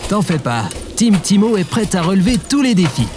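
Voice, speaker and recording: A man's voice: high-pitched, big pitch swings, brisk, music in the background. An actor playing a confident, good-natured young male quest leader in a French audio adventure, casual in manner. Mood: cocky.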